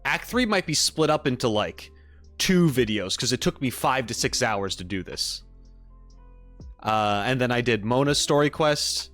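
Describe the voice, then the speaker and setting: A man's voice: medium pitch, lively intonation, unhurried, fairly bright tone. A man hosting a stream, talking casually to viewers in a rambling, explanatory way and correcting himself as he goes. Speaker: streamer and reaction video host. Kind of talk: casual livestream chat to viewers. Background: music.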